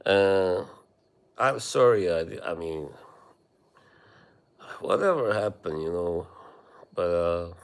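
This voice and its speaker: A man from Bosnia with a Bosnian accent, chatting casually with viewers on a live stream, rambling and halting with many fillers. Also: sits low, animated, clear, resonant tone.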